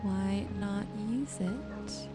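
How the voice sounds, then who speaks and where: A woman softly and gently narrating her gameplay in an ASMR style, musing to herself as she goes. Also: medium pitch, extremely slow, loud music behind the voice. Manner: soft-spoken, gentle musing to herself while sorting. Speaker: gaming video host.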